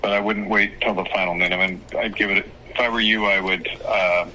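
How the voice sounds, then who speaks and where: A man speaking as an attorney, giving advice on a phone call in a measured, hesitant, explanatory way. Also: deep voice, fairly even intonation, pushed and bright. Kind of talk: phone consultation, casual advice. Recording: phone call with faint noise.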